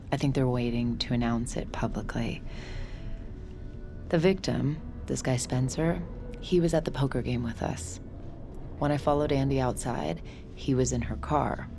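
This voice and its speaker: A guarded, forgiving young woman confiding her thoughts in an audio drama. Her voice is strikingly low for a woman, with a lot of vocal fry. The delivery is understated, and music plays clearly underneath. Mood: troubled. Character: guarded, forgiving young woman with secret mind-reading gift. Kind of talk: audio drama dialogue with confiding inner monologue.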